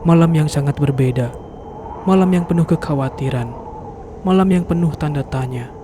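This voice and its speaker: A man's voice: a little high, normal speed. A man narrating a scripted horror story in a dramatic, solemn storytelling manner. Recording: noisy background ambience.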